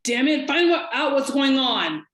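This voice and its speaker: A woman's voice: sits high, big pitch swings, unhurried, fairly bright tone, exaggerated voice. An actress playing a brusque, dry female federal agent in a scripted drama, curt and authoritative. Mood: alarmed. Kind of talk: scripted table-read drama acting. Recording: echoey room.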